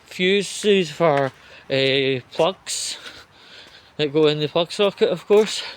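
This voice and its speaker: A man with a slightly high voice rants off the cuff at an unhurried, dragging pace. He is sardonic and sweary, breaking his commentary into short halting chunks. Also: clean tone. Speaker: vlogger giving political commentary. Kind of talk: off-the-cuff spoken rant.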